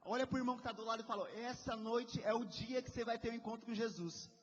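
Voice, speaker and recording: Young guy preaching hard at a prayer group, high voice, dull lo-fi sound with long echo. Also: steady tone, quick pace, slight background noise. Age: 20s. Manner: fervent, urges the crowd with repeated calls. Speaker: lay preacher.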